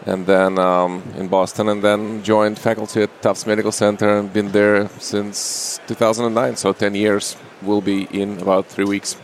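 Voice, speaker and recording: Deep-voiced man with a Russian accent. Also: level tone, normal speed, few pauses, a little hiss or hum. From Russia, Saint Petersburg. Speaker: interview guest, anesthesiologist. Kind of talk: casual conversational interview.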